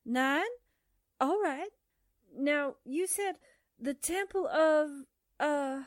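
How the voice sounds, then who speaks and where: A woman's voice: sits high, extremely slow, dry recording, studio quality. An actress playing a brash, cheeky, scatterbrained newspaperwoman with perky delivery in a 1940s-style radio drama. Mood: gushing. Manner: perky, wisecracking.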